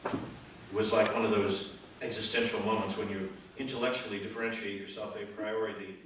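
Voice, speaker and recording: A man playing a wry mystery writer, narrating a noir parody deadpan in live radio theater. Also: lowish voice, fairly quick, natural voice. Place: theater stage, live performance. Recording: echoey theater, distant, faint noise.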